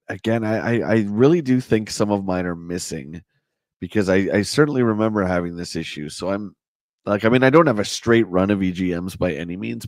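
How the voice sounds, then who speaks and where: Man, hoarse, lowish voice, chatty stream host, dry close sound. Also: no echo. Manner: chatty, answers viewer comments. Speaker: livestream host. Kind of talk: casual live chat reading a magazine.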